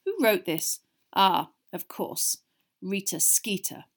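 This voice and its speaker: Fantasy storybook father, middle-aged, woman reader acting, weary, measured, exasperated. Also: medium pitch, animated. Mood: heavy, grim.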